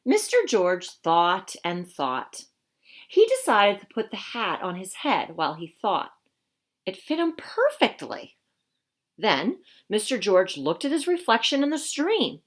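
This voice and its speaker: Female storybook reader, mid-pitched and highly animated, teacherly and expressive, speaking plainly for young children. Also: a little slow. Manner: expressive, teacherly, explains the pictures simply. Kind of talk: children's read-aloud with explanatory asides.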